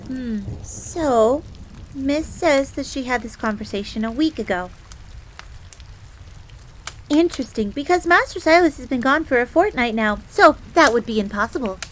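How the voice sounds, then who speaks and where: Casting: actress for jealous, flustered fox servant girl, roleplay audio drama. Higher female voice, prim formal phrasing, faint rain noise behind. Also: expressive, moderate pace. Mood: suspicious, accusing. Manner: formal, refers to herself in third person. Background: rain on a surface.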